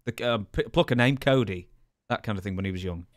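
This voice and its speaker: A man's voice: lowish voice, fast talker, no room sound. A man in his thirties hosting a podcast, casually putting questions into a close microphone. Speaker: podcast host, interviewer. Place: home studio room, indoors. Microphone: close broadcast microphone on boom arm.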